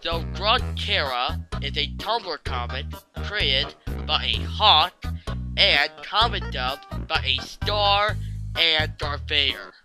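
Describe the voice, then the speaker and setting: A man's voice: on the high side, lively intonation, takes it slowly, over music. A man hosting an online video, giving casual fan-theory commentary in a jokey, sweary way.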